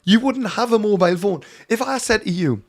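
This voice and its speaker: Hoarse male spiritual teacher, slightly raised pitch, earnest and emphatic direct address. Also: animated, fairly quick. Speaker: mediumship teacher. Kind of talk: direct-to-camera teaching talk.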